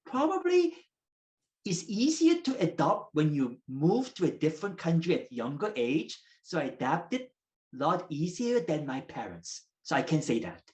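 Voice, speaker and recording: A man in his thirties, a native Korean speaker raised in Russia, explaining as a guest professor in measured, lecture-like answers. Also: a little high, very animated, darkish tone. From South Korea, raised in Russia. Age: 30s.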